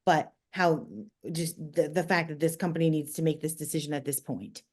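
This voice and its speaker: A woman's voice: low-pitched, steady tone, moderate pace, a little mellow. A woman with a New Jersey accent giving conversational advice as an interview guest, explaining things emphatically and directly. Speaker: podcast guest, communications expert.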